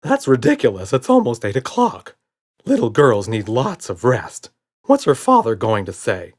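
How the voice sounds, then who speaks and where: A man's voice: mid-range voice, wildly swooping pitch, moderate pace. A man voicing a short, chubby, anxious male storybook monster with a squealing, whiny, frantic delivery. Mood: fretting. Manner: frantic, stuttering when frightened.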